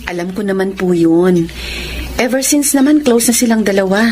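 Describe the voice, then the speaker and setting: A woman's voice: mid-range voice, animated, moderate pace, dark tone, close to an everyday voice. A woman playing a middle-aged mother who coaxes and defends herself in a radio drama. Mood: defensive. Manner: coaxing, defensive. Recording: faint background noise.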